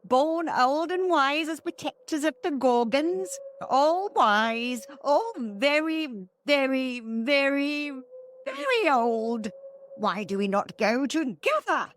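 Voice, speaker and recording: Shrill, ancient blind witch hag. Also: lively intonation, slow, slight background noise. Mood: scathing, haughty. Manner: archaic, theatrical, declaiming.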